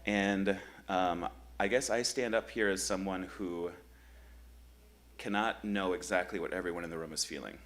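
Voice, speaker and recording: A man with a deep, low voice leads a grief workshop in a church meeting room. He talks in a loose, conversational way, pausing often with ums as he sets up the session. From Michigan. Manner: relaxed, conversational, many ums. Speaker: grief group facilitator.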